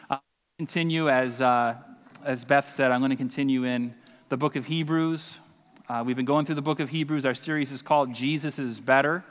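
A man's voice: mid-range voice, a bit bright. A man in his forties preaching and praying on a church stage, earnest and emphatic, with asides to the congregation. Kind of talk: sermon with scripture reading and prayer. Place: church stage, large hall. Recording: long reverb of a large hall.

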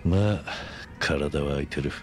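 A man's voice: extremely low pitch, measured pace, soft background music. A man voicing a stoic, blunt middle-aged tough fighter in a video game cutscene. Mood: reserved. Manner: terse, blunt, reserved.

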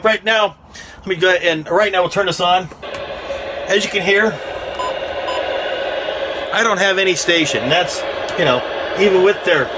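A man's voice: high voice, sharp tone, slight background noise. A middle-aged American man giving a casual, folksy, rambling how-to explanation, recorded on a phone at arm's length inside a small metal trailer. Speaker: DIY vlogger. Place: inside a small aluminum cargo or horse trailer.

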